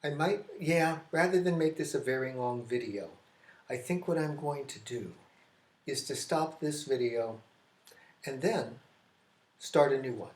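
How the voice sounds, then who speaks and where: An American man teaching English grammar in a classroom. He explains slowly, repeats key phrases and restarts sentences midway. His medium-pitched voice rings out in an echoey room. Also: darkish tone. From United States. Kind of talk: classroom grammar lesson at a whiteboard.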